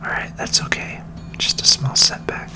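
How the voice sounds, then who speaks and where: A person whispering gentle, unhurried and reassuring ASMR commentary while playing a video game, in a breathy, unvoiced voice. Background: video game music.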